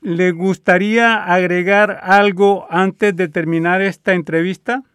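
A man interviewing a guest on the radio, asking measured, polite questions. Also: high-pitched, fairly even intonation, a bit bright, clean tone, dry recording, studio quality.

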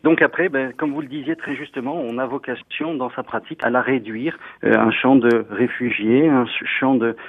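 Male guest lawyer, earnest and halting, heard over a thin phone line. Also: medium pitch, steady tone, slightly rough, dry recording. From northern France. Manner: earnest, explanatory, with frequent hesitations. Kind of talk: radio interview answers. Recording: tinny phone line, dry.

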